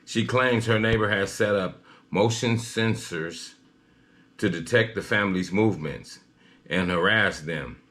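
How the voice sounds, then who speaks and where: Male news commentator, low-pitched, slightly halting read, personal opinion style. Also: a bit bright.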